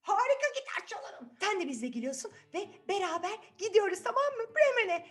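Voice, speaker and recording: A woman's voice: extremely high pitch, sing-song, fairly quick, soft background music, voice pushed up. A woman voicing a friendly, clever donkey in a children's fairy tale, eager and emphatic as she persuades. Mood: excited. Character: friendly clever donkey, enthusiastic band leader.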